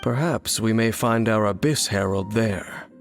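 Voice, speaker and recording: A man playing an enigmatic, world-weary wanderer in a video game, speaking in a measured, solemn and aloof way. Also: lowish voice, vocal fry, music in the background. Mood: cool, businesslike. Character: enigmatic, brooding, world-weary male wanderer of ancient knowledge.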